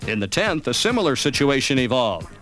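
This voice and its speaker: Lively American sports narrator over music. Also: male voice, mid-range voice, a little fast. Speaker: documentary narrator. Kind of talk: scripted sports recap narration.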